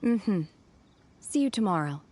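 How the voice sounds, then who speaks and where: A woman's voice: mid-range voice, expressive, measured pace, darkish tone, a little hiss or hum, close to an everyday voice. A woman playing an aloof, reserved young woman in a video game, speaking formally and with restraint. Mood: calm, polite.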